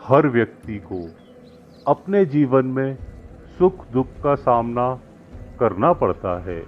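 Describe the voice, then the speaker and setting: A man voicing a calm, wise village holy man who gives counsel in a moral story, measured and didactic with deliberate pauses. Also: mid-range voice, slight background noise. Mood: philosophical, instructive. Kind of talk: acted moral-story dialogue. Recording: muffled lo-fi with music behind.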